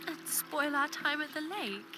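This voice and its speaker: A woman's voice: sits high, expressive, a little slow. An actress playing a sweet, admiring young woman being courted in an audio drama. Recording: noisy outdoor boating ambience.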